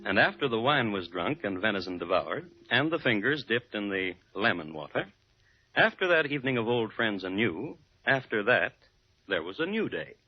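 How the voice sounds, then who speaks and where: A man playing a wry, worldly storyteller, narrating in a dry, florid, measured 1940s radio style. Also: lowish voice, sing-song, husky, soft background music. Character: wry, worldly storyteller relishing a scandalous tale. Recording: dull vintage radio broadcast.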